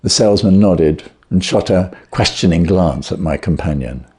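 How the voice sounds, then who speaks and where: A man reading a classic story aloud as scripted narration, measured and expressive. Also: deep voice. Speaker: audiobook reader.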